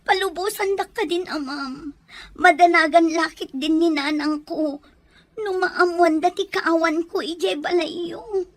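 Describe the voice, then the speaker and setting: A woman's voice: very high-pitched, unhurried, dry recording. A woman playing a frightened, meek young captive in an audio drama, pleading deferentially with a Filipino accent. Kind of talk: Ilocano audio drama acting.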